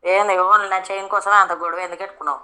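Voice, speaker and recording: A woman's voice: mid-range voice, fairly even intonation, racing, crowd noise. A woman with a Telangana accent in a casual phone conversation, talking bluntly and argumentatively. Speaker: friend on the receiving end of a prank call.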